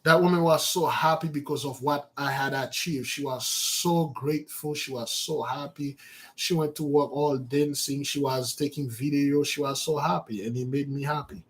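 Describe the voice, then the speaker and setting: A man with as his giving an informal spiritual talk to his followers, animated, repeating words and calling out to his listeners. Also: mid-range voice, moderate pace. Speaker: spiritual teacher.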